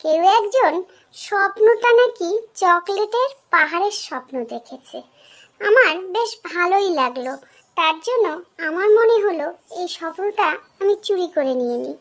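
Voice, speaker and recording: A woman's voice: extremely high pitch, animated, moderate pace. A woman voicing a mischievous, forgetful female fairy in a children's cartoon, chatty and playful. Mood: amused, curious.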